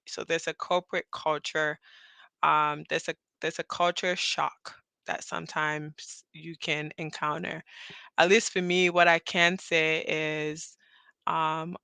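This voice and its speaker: A woman's voice: low-pitched, gravelly, bright, forceful tone, clean tone, no echo. A woman from Cameroon hosting a live audio chat interview, chatty and rambling, laughing often. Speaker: host.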